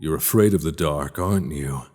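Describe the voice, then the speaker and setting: A man playing a sinister horror-story host in an audio drama, narrating in a slow, deliberate, theatrical and menacing way. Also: a bass voice, darkish tone, a little hiss or hum. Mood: ominous. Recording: long reverb, music underneath.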